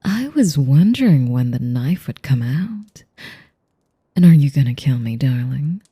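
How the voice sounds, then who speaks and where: Woman acting ancient female vampire, low-pitched, very breathy half-whisper, seductive, menacing, condescending, audio roleplay. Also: very animated, warm tone, exaggerated voice. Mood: mocking, amused. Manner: slow, velvety taunting with condescending endearments. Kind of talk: audio roleplay addressed to the listener.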